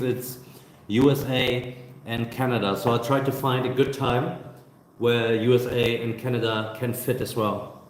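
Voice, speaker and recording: A man in his thirties, a travel vlogger chatting casually on a live stream Q&A, relaxed and hesitant, with many fillers and restarts, filming on his phone in a busy hostel co-working space. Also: mid-range voice, echoey room, poor audio. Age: 30s. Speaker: travel vlogger answering viewer questions. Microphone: phone camera.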